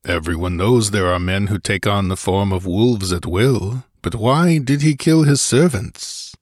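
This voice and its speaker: A man voicing a gruff, fearless barbarian warrior in a fantasy audiobook, blunt and growling. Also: lowish voice, unhurried, no room sound, clean studio sound. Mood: uneasy. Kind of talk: dramatized audiobook fantasy adventure.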